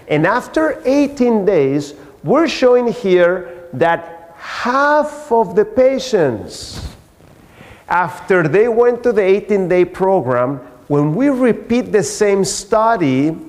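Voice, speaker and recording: A man giving a health lecture in a church hall, explaining emphatically with rhetorical questions. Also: on the high side. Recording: echoey hall with long reverb.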